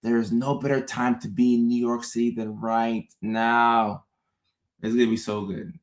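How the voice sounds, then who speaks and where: A man in his thirties from Ghana, hosting a relaxed livestream and chatting with viewers, often repeating his phrases. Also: medium pitch, normal speed. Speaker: host. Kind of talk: casual livestream chat.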